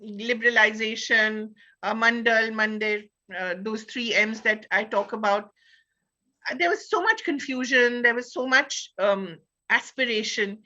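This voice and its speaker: A woman with an Indian accent discusses ideas as a panel guest. Her voice is sharp and bright, and she leans on key words while searching for phrasing. The audio is slightly dull and lo-fi. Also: a little high, measured pace. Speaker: panelist and author.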